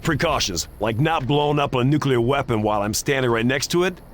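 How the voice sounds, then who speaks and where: An actor playing a reluctant, griping adventurer in a video game, with a sardonic, wisecracking manner. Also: male voice, medium pitch, animated, a little fast, a little hiss or hum, lightly acted. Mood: sarcastic. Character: sarcastic, griping action hero, reluctant freelance boat captain. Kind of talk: video game cutscene acting.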